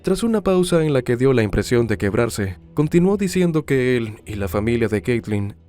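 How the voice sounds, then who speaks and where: Bright-voiced male true-crime narrator, dramatically inflected. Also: mid-range voice, big pitch swings, soft background music. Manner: formal, measured storytelling. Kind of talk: scripted documentary narration.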